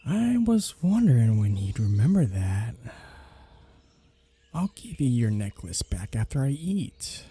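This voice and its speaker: Husky, teasing male vampire, acted by a man. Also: mid-range voice, big pitch swings, a little slow, dark tone, full character voice. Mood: exasperated, taunting. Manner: teasing, sarcastic, swearing banter. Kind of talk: ASMR roleplay audio drama, one side of dialogue. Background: birdsong, woodland sound effects.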